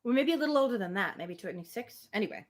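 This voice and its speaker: Woman streaming a book read-aloud, chatty, pitch moves around loads. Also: expressive, fast talker. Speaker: live-stream reader. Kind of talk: casual chat and expressive novel reading aloud.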